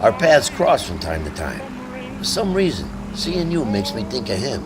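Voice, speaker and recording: A middle-aged American man playing a gruff, world-weary police detective in a game drama. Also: fairly low pitch, sing-song, normal speed, noisy background. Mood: matter-of-fact. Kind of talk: cinematic game drama acting.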